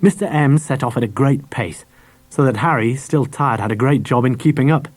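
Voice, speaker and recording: A man narrates a children's audiobook in a husky voice. The sound is dry, with very little room on it, and the delivery is that of scripted storytelling. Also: medium pitch, moderate pace, darkish tone, no echo. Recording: dry cassette audiobook transfer.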